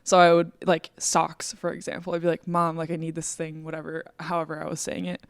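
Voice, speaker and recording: A woman in her twenties from Minnesota, telling stories in a casual interview with an animated, laughing manner. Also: low-pitched, brisk, clear, resonant tone, dry recording, studio quality. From Minnesota, USA. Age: 20s. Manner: animated storyteller, laughs easily. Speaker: illustrator guest.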